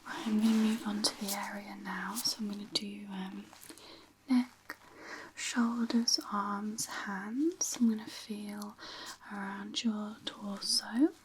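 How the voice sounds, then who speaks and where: A soft-spoken, breathy woman who half-whispers as she gives gentle, hesitant clinical instructions in an ASMR physiotherapy roleplay. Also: mid-range voice, fairly even intonation, slow pace, slight background noise, rough recording. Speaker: ASMR performer playing a physiotherapist.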